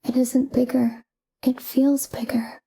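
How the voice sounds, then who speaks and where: Woman, breathy whisper, very slow, even pitch, calm sleep meditation guide. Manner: calm, hushed, soothing.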